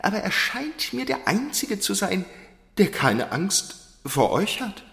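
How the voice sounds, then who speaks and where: A man's voice: sits high, sing-song, slow pace, creaky, a bit bright, heavily acted. A man playing a timid, deferential royal wedding minister who speaks hesitantly in a fairy-tale audio drama. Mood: cautious. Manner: hesitant, obsequious, stammering. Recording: echoey hall with long reverb.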